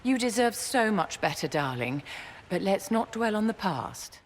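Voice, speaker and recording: Low, poised aristocratic sorceress. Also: female voice, sing-song, unhurried, slight background noise. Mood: gracious sympathy. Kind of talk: dramatic video game acting.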